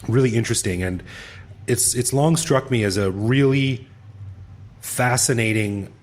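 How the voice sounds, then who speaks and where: A man's voice: mid-range voice, a little slow. A middle-aged man hosting a podcast, chatty and laid-back, laughing easily with an old friend. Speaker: podcast host. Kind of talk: casual interview.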